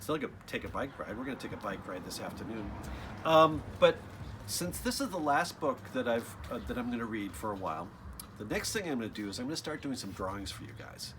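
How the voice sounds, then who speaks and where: A man's voice: medium pitch, sing-song, a little fast, noisy background. A man reading a children's picture book aloud expressively, with casual asides addressed straight to young listeners. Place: outdoors.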